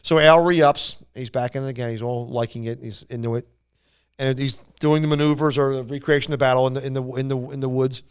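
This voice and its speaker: A man casually telling anecdotes on a podcast in a rambling, animated way, acting out what people said. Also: medium pitch, fast talker, clean tone. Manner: rambling, animated retelling with acted-out quotes. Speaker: podcast storyteller.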